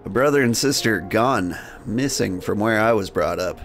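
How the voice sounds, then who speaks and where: Gravelly, low-voiced man livestreaming a game. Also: animated, normal speed, a bit bright. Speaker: game streamer and host. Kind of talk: livestream game commentary mixing casual chat with reading game text aloud. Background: music.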